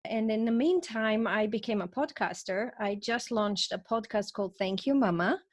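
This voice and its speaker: A woman from Croatia talks as a podcast guest, eager and quick in her storytelling. Her pitch sits in the middle for a woman. She spins long stories with an easy flow. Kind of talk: interview conversation.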